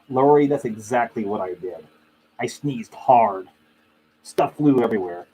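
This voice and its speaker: A man's voice: medium pitch, animated, measured pace, dark tone. A man hosting a casual livestream, talking to viewers in a conversational, opinionated, anecdotal way. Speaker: livestream host. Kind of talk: casual chat with live viewers. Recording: clearly noisy live stream audio.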